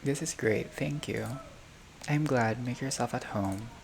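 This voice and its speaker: A gamer reading visual novel text aloud in a halting way, breaking off for casual asides and reactions. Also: medium pitch. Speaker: gaming video creator playing a visual novel. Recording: clearly noisy home recording, unstable audio.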